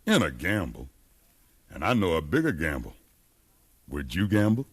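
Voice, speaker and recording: Fiery rebel leader in an old radio play, lowish voice, really animated, persuasive and preachy. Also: male voice, normal speed, slightly rough, heavily acted. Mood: bold, persuasive. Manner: fervent, persuasive, preaching cadence. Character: determined freed slave man, educated, fiery rebel leader. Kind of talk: 1950s radio drama acting.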